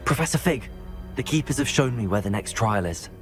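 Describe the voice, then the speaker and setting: A man's voice: medium pitch, animated, brisk, over music, lightly acted. A man playing an earnest, resolute young student wizard in a video game, polite in his way of talking. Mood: earnest, inquisitive. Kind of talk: video game cutscene acting.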